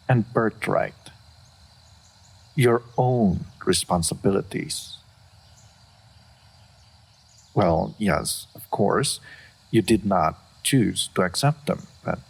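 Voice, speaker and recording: Man voicing a very deep, gravelly, aloof dragon. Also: exaggerated voice. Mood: grave, cautioning. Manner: formal, measured, deliberate, reserved. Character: aloof, solitary, dignified old male dragon of great power. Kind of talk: scripted fantasy roleplay audio.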